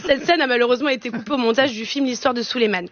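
Woman, French accent, high voice, theatrical comic columnist, self-mocking delivery, slightly muffled dry sound. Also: rapid-fire, slight background noise. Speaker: radio comedy columnist. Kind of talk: comic monologue on radio. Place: radio studio.

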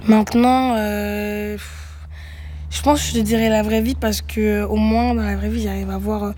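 A young woman who grew up in Greece, a musician guest answering interview questions thoughtfully, with many pauses and restarts. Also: mid-range voice, flat delivery, dark tone, a little hiss or hum. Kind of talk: reflective radio interview answers.

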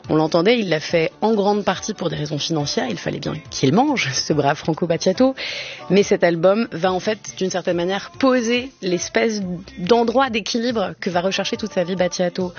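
Lively radio host over soft music. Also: mid-range voice, highs cut off. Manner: lively, explanatory, addresses listeners directly. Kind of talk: scripted radio presentation on music.

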